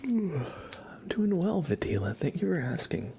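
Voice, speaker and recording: A man hosting an ASMR livestream, chatting casually and playfully in a soft voice that often slips into whispers. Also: a little high, very animated, a little slow, a little hiss or hum. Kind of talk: ASMR, casual chat to viewers and a pet cat.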